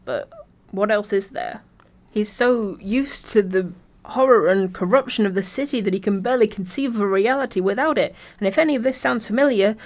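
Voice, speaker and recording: A woman reading scripted video essay narration in a wry, informal way. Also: mid-range voice.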